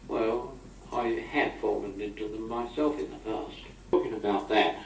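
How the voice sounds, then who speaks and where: A man's voice: on the low side, darkish tone. A middle-aged or older British man speaking in a chatty, wry, old-fashioned way, as if in an informal spoken letter to a friend. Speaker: amateur correspondent on a taped letter. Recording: old amateur tape, roomy, distant, noisy.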